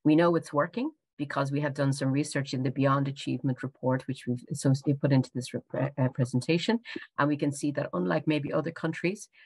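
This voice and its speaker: A woman's voice: deep voice, darkish tone, husky, rough recording. Earnest Irish woman presenting education policy. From Ireland. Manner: fluent and earnest, rushing to finish. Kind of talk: conference presentation.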